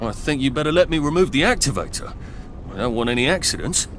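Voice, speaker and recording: A man's voice: mid-range voice, lively intonation, fast talker, fairly bright tone, slight background noise, natural voice. An actor playing a polite, anxious Englishman with a hesitant, understated manner and an English accent in a video game cutscene. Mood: polite, uneasy. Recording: music and rain behind voice.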